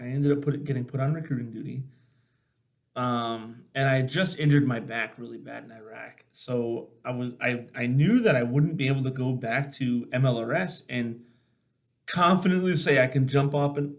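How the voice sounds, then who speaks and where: Male host, mid-pitched, ex-army sergeant type; informal and sincere storyteller who leans on his points in relaxed conversation.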